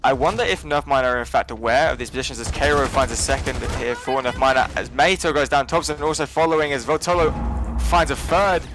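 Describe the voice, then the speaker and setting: A man from England calling live esports play-by-play in quick, steady runs, relaxed and chatty between rounds. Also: medium pitch. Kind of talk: live game commentary.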